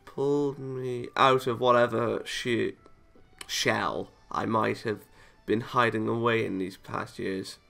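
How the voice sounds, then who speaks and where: A man's voice: fairly low pitch, fairly even intonation, takes it slowly, creaky, pushed and bright, faint music behind. A man playing a visual novel, reading the story aloud and adding casual reactions of his own. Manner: chuckles and comments between read lines. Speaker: video game let's player.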